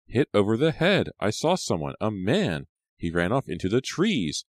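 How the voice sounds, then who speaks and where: Man voicing a frightened, pleading teenage girl, pitched up. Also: big pitch swings, normal speed, dry recording, studio quality. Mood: shaken. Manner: urgent, emotional, pleading.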